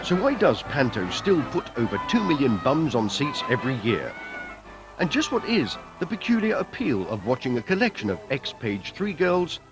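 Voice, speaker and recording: A man's voice: medium pitch, very animated, normal speed. A British man reading wry, scripted documentary narration as a voice-over. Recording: voice-over with music, from VHS tape.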